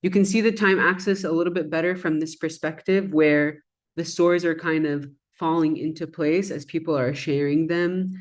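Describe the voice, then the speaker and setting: A woman's voice: deep voice, darkish tone. A woman whose first language is Arabic, giving a conversational presentation on her design research while walking through slides. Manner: reflective and conversational, with frequent "um" and "kind of".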